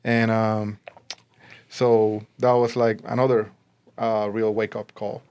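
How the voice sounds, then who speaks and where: A man's voice: on the low side, little pitch movement, slow, darkish tone, clear, resonant tone. A man in his forties from Puerto Rico, with a Puerto Rican accent, answering interview questions in a conversational way as a guest. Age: 40s. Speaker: interview guest, realtor and army veteran.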